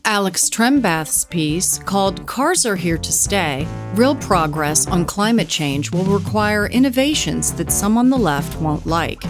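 A woman's voice: medium pitch, lively intonation, measured pace, few pauses. A woman announcing a published article and the show's website in a scripted read. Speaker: show announcer. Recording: clear music bed behind.

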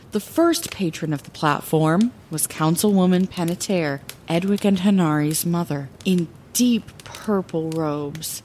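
A woman playing a wry lighthouse keeper who narrates her own fantasy adventure in an expressive, deliberate way. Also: on the low side, slight background noise. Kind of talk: scripted first-person fantasy storytelling.

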